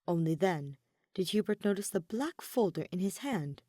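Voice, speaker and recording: A woman narrates a story in audiobook style. Her pitch is slightly low and moves in a very lively way, and the recording is dry and close. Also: normal speed, dry recording. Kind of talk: scripted narration of fan fiction.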